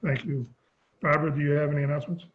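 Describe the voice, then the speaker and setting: Hoarse male chair, halting read-through, muffled video-call sound. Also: a little high, fairly even intonation. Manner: halting, stumbles over scripted wording. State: losing his voice. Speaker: chair of a town sewer commission. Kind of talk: reading a meeting script and chairing. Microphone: remote video call. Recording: dull lo-fi call audio.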